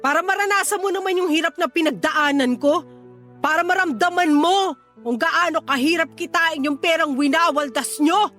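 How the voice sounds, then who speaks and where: An ailing, indignant Filipino mother in a radio drama. Her voice is extremely high, pleading and full of reproach. Soft music plays faintly underneath. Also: normal speed. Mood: indignant, angry. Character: hurt, indignant ailing wife and mother, middle-aged. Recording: faint music bed behind voice.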